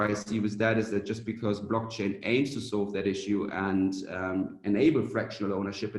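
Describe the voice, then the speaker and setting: A young German man giving a business conference presentation as a startup founder, pausing often with hesitant fillers. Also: fairly low pitch, reverberant.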